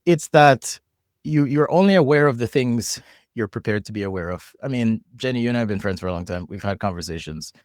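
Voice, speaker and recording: A man's voice: medium pitch, lively intonation, fairly quick, darkish tone, dry recording, studio quality. A man from Jamaica with a Jamaican accent, talking reflectively as a podcast guest, often restarting and repeating words as he thinks. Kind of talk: casual conversation.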